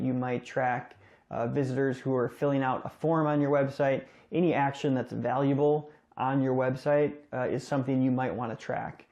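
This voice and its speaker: Even-toned man presenting a tutorial. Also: mid-range voice, highs cut off. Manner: plain, step-by-step instructional delivery. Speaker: tutorial host. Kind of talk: casual explanatory screen tutorial.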